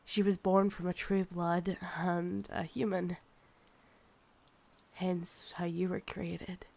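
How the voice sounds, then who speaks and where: A woman's voice: on the low side, level tone, gravelly, darkish tone, full character voice. A woman with a Scottish accent playing a possessive, proud, seductive ancient vampire in an intimate ASMR roleplay, teasing and condescending. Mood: haughty, explaining. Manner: slow, teasing, condescending, calls listener darling.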